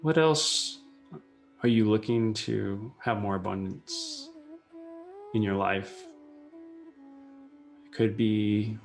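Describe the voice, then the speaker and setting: Slow, low-voiced man guiding meditation, gravelly edge. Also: very animated. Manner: unhurried, pausing between short phrases. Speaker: meditation guide. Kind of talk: guided meditation. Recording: soft music behind the voice. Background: meditation music.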